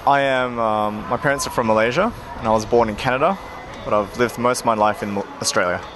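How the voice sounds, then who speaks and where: A man's voice: mid-range voice, steady tone, fast, music in the background. A man giving short, plain answers in a casual outdoor interview. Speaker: interviewee, dragon boat paddler. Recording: outdoor interview with traffic noise.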